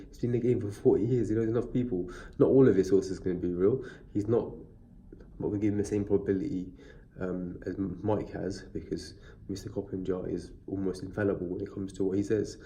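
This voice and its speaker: Deep-voiced man with a cold. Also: fairly even intonation, a little fast, warm tone, slight background noise. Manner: chatty, rambling, many fillers and restarts. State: stuffy from a cold. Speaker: boxing vlogger. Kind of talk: casual video commentary. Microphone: built-in device mic, no external mic.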